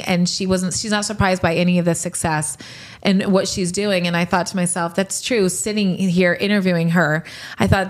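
A woman in her late forties hosts her own podcast and answers rapid-fire questions. Her voice sits on the low side. She speaks candidly and reflectively, and she laughs easily. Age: 40s. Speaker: podcast host.

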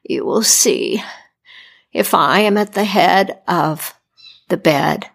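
A woman doing a grim, slow-speaking Death. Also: lowish voice, creaky, heavily acted. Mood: ominous. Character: grim, stern male Death figure, slow and ominous.

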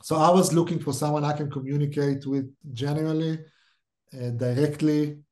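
A man's voice: fairly high pitch, steady tone, normal speed, a little mellow. A middle-aged man from Israel with an Israeli accent, a startup CEO speaking directly and thinking aloud as a podcast guest. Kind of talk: podcast interview.

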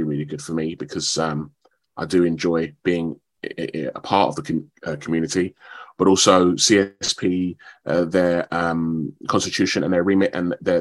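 A man, talking as a guest in a radio interview in a modest, measured, slightly halting way. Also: deep voice. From London, England. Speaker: actor, radio show guest.

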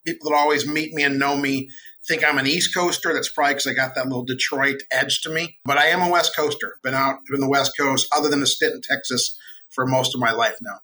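A middle-aged American man talking as a podcast guest, relaxed, friendly and chatty. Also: medium pitch, a little fast, poor audio. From United States. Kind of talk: casual interview.

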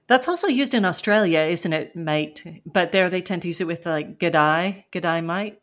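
Canadian west coast woman, 30s, podcast host, chatty and explaining, a bit low. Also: normal speed, a bit bright. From western Canada. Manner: friendly, explanatory, acts out example phrases. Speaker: podcast host and ESL teacher. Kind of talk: casual podcast conversation.

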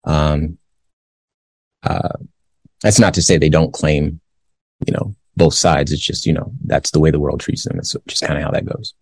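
A man in his forties from the Los Angeles area, hosting a commentary show. He reads a news story aloud, then gives his views in a measured way with many pauses. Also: rumbling low, fairly even intonation, a little mellow, breathy edge, dry recording. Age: 40s. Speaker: show host.

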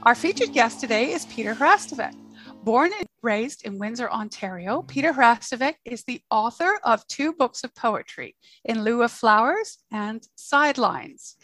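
A woman's voice: on the high side, animated, moderate pace, a bit bright, soft background music. A woman from Canada co-hosting a podcast, reading a guest introduction and asking questions in a friendly way. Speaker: podcast co-host.

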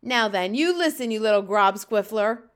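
Woman as a booming, bullying giant villain. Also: normal speed. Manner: booming, loud, taunting. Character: huge menacing bullying man-eating giant. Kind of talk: storybook read-aloud villain voice.

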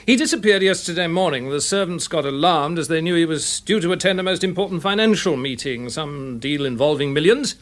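An actor playing a dry, methodical English police inspector in a radio drama, explaining things in a measured, matter-of-fact way. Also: male voice, fairly high pitch, fairly bright tone, lightly acted. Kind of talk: classic BBC radio drama acting.

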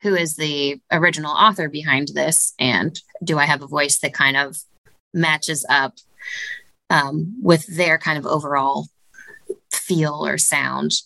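A woman speaks on a podcast as a studio director, her voice sitting a little low yet bright. She explains things step by step with many small "um" pauses. The sound is dry and close. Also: fairly even intonation, creaky, dry recording, rough recording. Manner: measured, explanatory, frequent "um" fillers. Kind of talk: podcast interview answers.

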